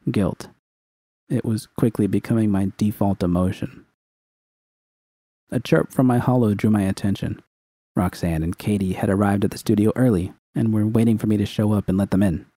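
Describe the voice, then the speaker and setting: A man's voice: fairly low pitch, fairly even intonation, moderate pace, mellow, dry recording. A man reading dramatic first-person fiction as an audiobook narrator.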